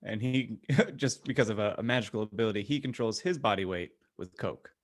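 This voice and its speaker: A man's voice: fairly low pitch, fairly quick. A man co-hosting a podcast in casual, joking conversation. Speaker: podcast co-host.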